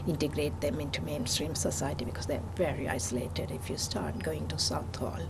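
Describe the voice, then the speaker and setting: Soft-spoken gravelly woman, noisy street. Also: low-pitched, steady tone, a little fast. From Malaysia. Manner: soft-spoken, hesitant with many ums. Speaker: librarian. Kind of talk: documentary interview.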